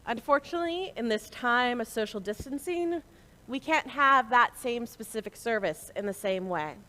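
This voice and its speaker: A woman hosting a service, giving an earnest, deliberate spoken introduction to her congregation. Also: fairly high pitch, expressive, sharp tone, poor audio. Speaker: service host.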